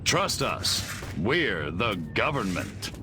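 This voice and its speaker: A man's voice: lowish voice, sing-song, slow, noisy background. A man acting an evasive, smug government agent in a video game, dry and self-assured.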